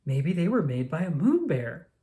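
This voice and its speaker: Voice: mid-range voice, sing-song, moderate pace, mellow. A storyteller voicing a dreamy, eager and naive bear in a children's storybook, speaking earnestly and expressively. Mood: wondering, fearful. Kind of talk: children's storybook read-aloud.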